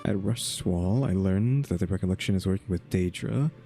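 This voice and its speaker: A man's voice: deep voice, moderate pace, creaky, mellow. A man doing casual, laid-back let's-play commentary, chatting conversationally and reading game lines aloud. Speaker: gaming channel host. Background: game music.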